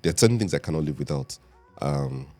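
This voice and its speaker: Nigerian man, 30s, very deep mellow raspy voice, laid-back storyteller over faint music. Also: animated, quick pace. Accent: Nigerian English. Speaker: podcast guest. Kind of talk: casual chat.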